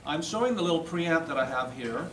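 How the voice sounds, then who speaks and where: A man's voice: fairly high pitch, animated, a little fast. A middle-aged man giving a plain-spoken, explanatory demonstration talk in an informal way. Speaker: presenter at a society meeting. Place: classroom or lecture room, indoors. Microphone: far camera. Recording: roomy, distant, noisy camera recording.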